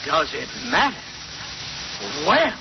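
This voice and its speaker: Very noisy old radio recording, high wildly swinging male voice, teasing American clerk, jovial, exaggerated. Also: big pitch swings, slow pace, gravelly, dull audio, full character voice. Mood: mock scolding. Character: wisecracking, jovial marriage license clerk in radio sitcom. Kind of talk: 1940s radio comedy acting.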